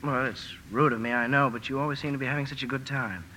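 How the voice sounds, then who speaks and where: Man acting a bright-voiced, bashful young gentleman. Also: mid-range voice, steady tone, quick pace, lightly acted. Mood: wistful. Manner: hesitant, stammering, courteous. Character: polite, lonely, bashful well-bred young man. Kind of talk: 1950 radio drama acting. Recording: noisy vintage 1950 radio broadcast.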